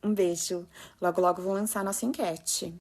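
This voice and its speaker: A woman's voice: fairly low pitch, steady tone, moderate pace, darkish tone. A woman with a Brazilian Portuguese accent, a health professional giving advice to parents and colleagues in an emphatic, urgent way. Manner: emphatic, urgent, addresses listeners directly. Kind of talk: passionate talk.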